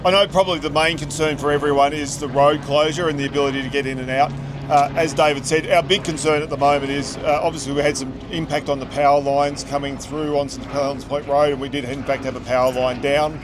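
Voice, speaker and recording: An Australian man with an ordinary mid-range voice speaks in a plain, measured way as he explains at an outdoor briefing. Clear vehicle and outdoor noise surrounds him. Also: fairly high pitch, flat delivery. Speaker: police inspector. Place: outdoors, near firefighting operations. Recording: outdoor recording with loud background noise.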